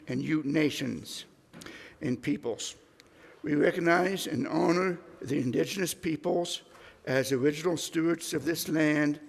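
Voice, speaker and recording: Male worship associate, slightly high voice, deliberate welcome and notices, long hall reverb. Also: creaky. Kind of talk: welcome and announcements, partly read. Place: congregation's meeting hall.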